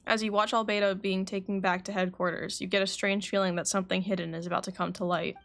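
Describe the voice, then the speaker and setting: A woman's voice: mid-range voice, little pitch movement, fast talker, fairly bright tone. A woman livestreaming a game, chatting casually and reading story text aloud, stumbling and correcting herself. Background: game music.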